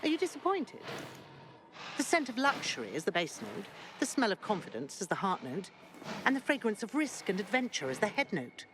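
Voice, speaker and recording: A woman's voice: medium pitch, very animated, brisk, natural voice. An actress playing a showy, teasing woman who makes scents, in a video game, with a playful and dramatic manner. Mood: grand salesmanship. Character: theatrical, flirty female perfume maker, proud and teasing. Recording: loud ambient noise behind.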